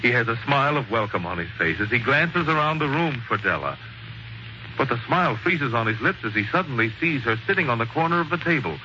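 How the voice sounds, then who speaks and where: A man narrating a 1940s radio drama in a theatrical, measured storytelling manner, on a muffled vintage broadcast recording. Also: medium pitch, big pitch swings, bright, forceful tone, a little hiss or hum. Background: prominent music.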